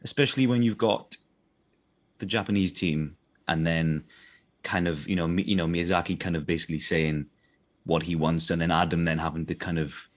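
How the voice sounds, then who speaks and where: Low-voiced South Welsh man in casual interview. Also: fairly even intonation, moderate pace. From South Wales. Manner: rambling, self-correcting, checks listener follows. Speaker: voice actor being interviewed.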